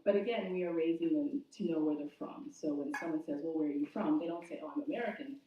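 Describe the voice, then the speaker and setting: A woman from Zimbabwe in her thirties, sharing her personal faith story at a church service in a steady, reflective way with frequent ums. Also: low-pitched, fast, slight background noise. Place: church sanctuary. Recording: echoey room from far off, dull.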